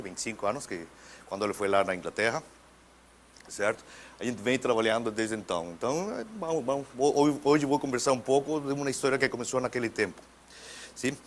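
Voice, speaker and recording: A male physicist with a Mexican Spanish accent lectures in a second language, breaking off mid-word and starting again. His voice sits at a middle pitch for a man, and he keeps clearing his throat between points. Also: slight background noise. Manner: halting, restarts words, frequent throat clearing. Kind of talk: academic lecture.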